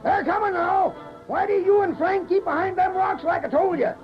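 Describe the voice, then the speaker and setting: An actor playing a feisty, bossy rancher father in a 1940s radio western, folksy and excitable, with a rural Western American accent. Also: very high-pitched, moderate pace. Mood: urgent, bossy. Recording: dull vintage radio, music and crowd noise.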